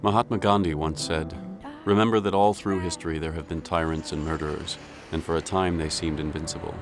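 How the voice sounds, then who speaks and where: A male actor playing a grave criminal profiler, reciting quotations in a measured, gravelly voice-over for a TV drama. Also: very deep voice, steady tone. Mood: solemn. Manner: measured, solemn. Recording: music under the voice.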